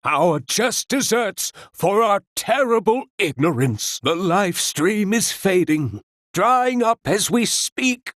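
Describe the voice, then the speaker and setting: An actor playing a zealot who preaches a dying planet's doom in a grandiose, solemn, theatrical sermon for a video game. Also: male voice, sits high, big pitch swings, slow, a bit bright, dry recording, studio quality, heavily acted. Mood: fervent, mournful.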